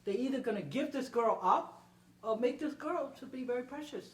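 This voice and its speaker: A woman's voice: high voice, animated, a little fast. An older woman telling stories conversationally to an audience, emphatic, repeating phrases and checking in with "okay?". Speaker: panel speaker and performer. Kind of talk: animated conversational storytelling to an audience. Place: indoor room at a public library event. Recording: roomy, distant mic, faint noise.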